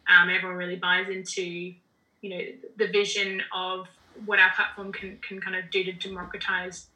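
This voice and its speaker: A woman with an Australian accent talks casually as an interview guest, with plenty of fillers and restarts. Her voice is very bright and forward. The room around her rings with noticeable echo. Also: on the low side, little pitch movement, moderate pace. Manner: chatty, lots of fillers and restarts. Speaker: podcast guest, general manager.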